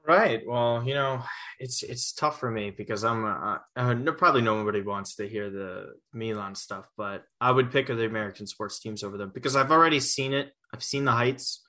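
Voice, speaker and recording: Bright-voiced young male podcast host. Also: mid-range voice, normal speed. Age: 20s. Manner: casual, rambling with restarts. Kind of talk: casual sports chat.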